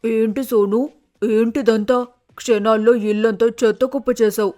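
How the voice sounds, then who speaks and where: Woman as a stern mother bird, even-toned scolding. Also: fairly high pitch, measured pace, soft background music, lightly acted. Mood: exasperated. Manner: scolding, nagging, matter-of-fact. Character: stern, practical mother bird of a lazy daughter. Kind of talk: cartoon moral story voice acting.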